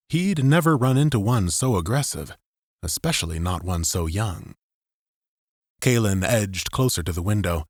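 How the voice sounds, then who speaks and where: A man's voice: on the low side, dry recording, studio quality. A man narrating dramatic fiction as a scripted audiobook.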